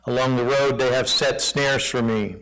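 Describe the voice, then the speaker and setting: A man with a loud, projecting voice reads psalms aloud into a pulpit mic while testing a church's sound system. His tone is bright and sharp, cutting clearly through each line. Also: medium pitch, steady tone, normal speed, rough recording. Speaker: scripture reader testing church microphones. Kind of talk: reading psalms aloud during a sound check. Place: church sanctuary. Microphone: pulpit and lapel mics.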